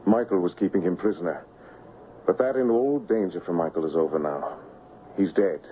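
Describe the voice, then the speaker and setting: Radio drama lead male actor: noble, troubled gentleman in love, very low pitch, earnest formal delivery, muffled broadcast sound. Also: moderate pace, a little hiss or hum, lightly acted. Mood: grave. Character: lovesick honorable English royal stand-in, noble and troubled. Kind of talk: radio drama acting and first-person narration. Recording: muffled vintage radio broadcast, music behind.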